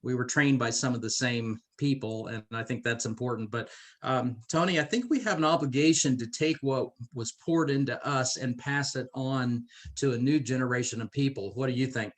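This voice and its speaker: A man's voice: mid-range voice, normal speed. A middle-aged man hosting a conversational business training interview in an enthusiastic, encouraging way. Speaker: host.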